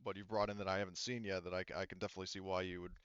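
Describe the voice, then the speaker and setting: Man voicing a low, measured businesslike space-game contact. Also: flat delivery, a bit bright, clean tone, no echo, lo-fi sound.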